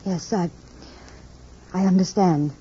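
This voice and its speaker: A woman's voice: on the low side, steady tone, takes it slowly, dark tone, slightly rough, heavily acted. An actress playing an anxious, earnest woman in a 1950s radio drama, with a hesitant, pleading way of talking. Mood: hushed worry. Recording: dull vintage vinyl radio recording.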